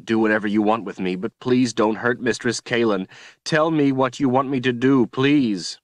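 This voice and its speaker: A man's voice: medium pitch, normal speed, no room sound, pitched above the performer's own voice, close to an everyday voice. A man voicing a desperate young man who pleads tearfully. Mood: pleading.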